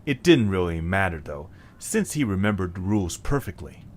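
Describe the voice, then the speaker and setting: A man narrating an adventure tale as a calm storyteller in scripted audio drama style. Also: low voice, normal speed, pitched below the performer's own voice. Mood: measured storytelling. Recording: faint background noise.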